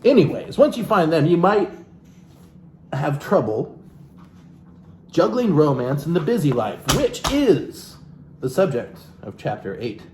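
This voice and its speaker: A man's voice: a little high, sing-song, unhurried, long pauses, a little mellow. A man reading a self-help book aloud in a theatrical, playful way, breaking off into wry, joking asides of his own. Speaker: comedic reader and commentator. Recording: echoey room with background hiss.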